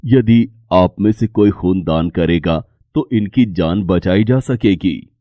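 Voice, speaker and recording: A man's voice: low voice, slow, dry recording, lo-fi sound, pitched below the performer's own voice. A man voicing a hospital doctor in a story, serious, clinical and matter-of-fact. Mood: grave, urgent.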